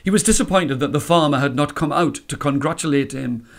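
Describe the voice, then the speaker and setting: A man reading a story aloud as a storyteller, with measured, dramatic phrasing. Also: on the high side, creaky. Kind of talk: expressive scripted storytelling.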